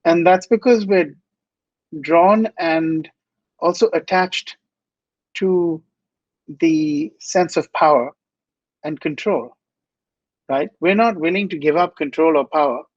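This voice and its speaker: A passionate man from India speaks with a fairly high, earnest voice. He builds his points through repeated phrases. The sound is dry and a little dull, like a video call. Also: takes it slowly, pauses often, darkish tone, no echo. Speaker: guest speaker. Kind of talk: inspirational interview answers.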